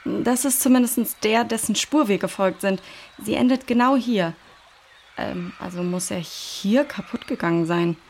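A composed female search leader, played by a woman in a children's audio play. She sounds assured and caring at a medium pitch, reasoning things out and gently directing her team. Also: unhurried, slight background noise, close to an everyday voice. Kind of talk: children's audio drama acting.

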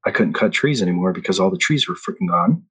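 A man's voice: sits low, lively intonation, quick pace, a bit bright, slightly rough. Rambling man telling stories. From Philippines. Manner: rambling storyteller with frequent restarts and laughs. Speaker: podcast guest. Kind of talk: casual chat.

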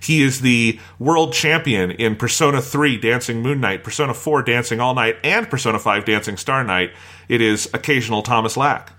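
Male podcast host, bright forward voice, conversational introductions, mid-range pitch. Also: moderate pace.

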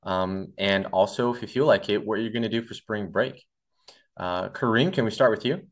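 A man's voice: on the low side, moderate pace, fairly bright tone. Upbeat young man hosting a podcast. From Brazil. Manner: upbeat, with many "awesome"s and uh fillers. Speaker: podcast host. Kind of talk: scripted podcast intro and casual chat.

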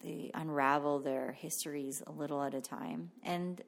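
Low, creaky-voiced woman, reflective. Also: fairly even intonation, moderate pace. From Canada. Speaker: interview guest, visual artist. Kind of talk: casual reflective interview about own work.

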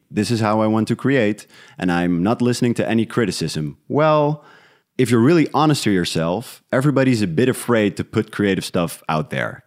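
A man's voice: fairly low pitch, a little mellow. A man from the Netherlands talking casually and reflectively as an interview guest about creative work.

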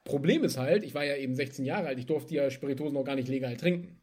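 A young man from Germany, a spirits entrepreneur, chatting casually in an interview and telling stories in a lively way with laughs. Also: medium pitch, quick pace, husky.

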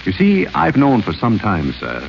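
Dignified smooth butler, lowish voice, lively pitch, muffled old broadcast, faint hiss. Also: male voice, unhurried, full character voice. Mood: composed. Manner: suave, courteous, understated. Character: polite, dignified, clever male butler. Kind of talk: 1930s radio acting.

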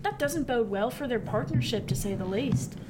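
A woman's voice: on the high side, lively intonation, moderate pace, very noisy, natural voice. A woman playing a seasoned male merchant sailor in a radio drama, wry and confiding, with old-fashioned nautical speech. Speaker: actor.

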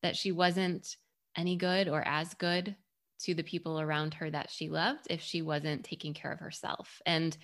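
Woman, low pitch, podcast host, articulate, reflective, conversational. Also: level tone, normal speed. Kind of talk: conversational interview.

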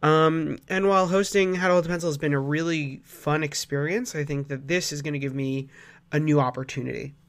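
A young man talks through his own podcast episode in a loose, rambling way, full of ums and restarts. His voice sits a little higher than most men's, and a cold leaves it nasal. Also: a little fast. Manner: relaxed, rambling, many fillers and restarts. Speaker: podcast host. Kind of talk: casual solo podcast talk.

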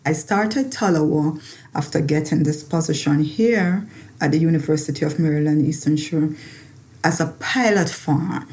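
Low-pitched Jamaican woman. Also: normal speed, darkish tone. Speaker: farmer and agricultural researcher. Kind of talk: personal interview talk.

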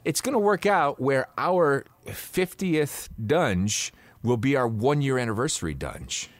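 Wisecracking man with an expressive voice. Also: mid-range voice, measured pace. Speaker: podcast co-host. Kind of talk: casual banter.

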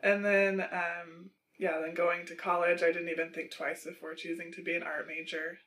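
A woman with a bright, clear voice and a fairly flat, even pitch tells stories in a friendly, relaxed way. She sits outdoors on a patio for the interview. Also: moderate pace. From Seattle area. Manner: friendly, relaxed storytelling with small laughs. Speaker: artist and florist guest. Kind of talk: casual interview.

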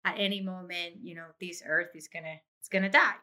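A woman's voice: fairly low pitch, fairly even intonation, brisk, bright, forceful tone. A middle-aged woman, talking expressively and effusively as an interview guest about her own experience. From Puerto Rico. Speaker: interview guest, insurance agency owner. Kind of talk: conversational interview, personal career story.

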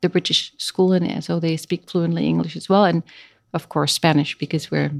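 A middle-aged woman from the Netherlands with a Dutch accent, speaking English as a guest in a casual interview, pausing often with fillers as she searches for words. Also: on the low side, fairly even intonation, creaky, no room sound, studio quality.

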